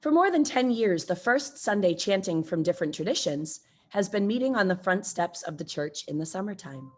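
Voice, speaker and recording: A woman with a medium-pitched voice reads out church announcements. Her delivery follows a prepared script of notices. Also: expressive, normal speed.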